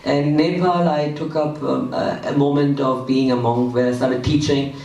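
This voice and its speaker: Halting man talking in an echoey hall. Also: mid-range voice, little pitch movement, a little mellow. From Germany. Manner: halting, many "uh" fillers. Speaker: speaker at a PechaKucha night. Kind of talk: personal presentation talk. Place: event hall. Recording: echoey hall, mic from a distance.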